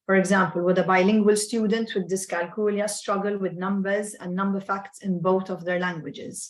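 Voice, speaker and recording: A woman hosts the question round of an online talk, speaking over a video call. She reads each question in a polite, measured way, with a voice of ordinary pitch. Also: fairly even intonation. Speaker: webinar moderator. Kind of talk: reading out audience questions.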